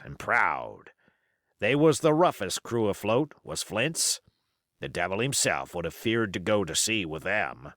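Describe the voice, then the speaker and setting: A man's voice: low-pitched, gravelly, a bit bright, no echo, clean studio sound, full character voice. A man voicing a sly, boastful, commanding pirate cook in an audiobook, with emphatic rustic seafaring talk. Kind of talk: audiobook character voice.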